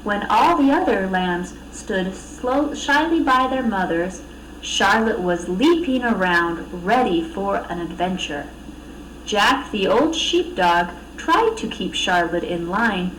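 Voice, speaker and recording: A woman reading a children's storybook aloud with expressive narration. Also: mid-range voice, slow pace, far from the mic. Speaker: storybook reader. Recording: echoey room, off mic, faint noise.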